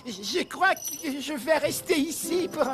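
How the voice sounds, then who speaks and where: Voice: high voice, measured pace, over music. An actor playing a cowardly, greedy little comic sidekick in a French cartoon fairy tale. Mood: nervous, playful.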